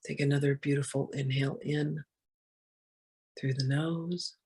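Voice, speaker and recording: A guided meditation in a soft, breathy voice that stays on an even, narrow pitch. The delivery is gentle and soothing, with unhurried phrases and long pauses between them.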